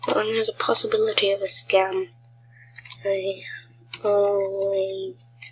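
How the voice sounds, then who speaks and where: A woman's voice: medium pitch, level tone, slow pace, lo-fi sound, a little hiss or hum. A woman with a cold, chatting casually to viewers as she thinks aloud during gameplay commentary. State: has a cold, sounds ill. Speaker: gaming video creator.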